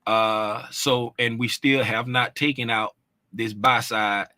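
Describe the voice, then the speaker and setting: A man's voice: mid-range voice, steady tone, slow, bright, forceful tone, dry recording. A man explaining trading charts in a casual, conversational way, often checking in with "right?" and "you know what I'm saying?". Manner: loose, informal phrasing with restarts. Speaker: trading educator.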